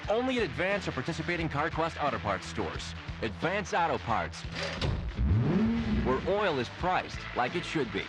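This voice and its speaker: A man reads a retail radio spot over a clear music bed. His voice is a bit high for a man. The delivery moves up and down in a very animated way. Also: normal speed, rough recording. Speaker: commercial announcer.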